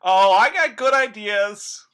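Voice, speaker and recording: A woman's voice: medium pitch, animated, slow, a bit bright, smooth. A woman playing a dim, overeager, oafish male assistant in a radio comedy sketch. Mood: eager.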